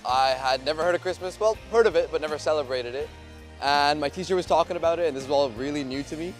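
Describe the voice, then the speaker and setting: A young man outdoors in a city plaza, telling a story in a halting way with many fillers, recorded on a lapel mic. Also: a little high, soft background music. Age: young adult. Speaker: street interviewee. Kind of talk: casual storytelling.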